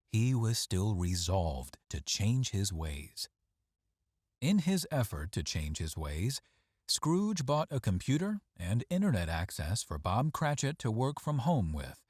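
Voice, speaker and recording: Deep-voiced man reading a story, lively delivery. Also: unhurried, no room sound, clean studio sound. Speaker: story reader. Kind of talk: scripted storytelling narration.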